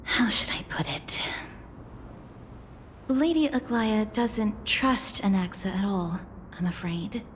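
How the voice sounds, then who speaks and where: Polite, measured young woman voiced by an actress. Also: medium pitch, a little hiss or hum, close to an everyday voice. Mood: calm, explaining. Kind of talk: scripted video game voice acting. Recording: faint music under voice.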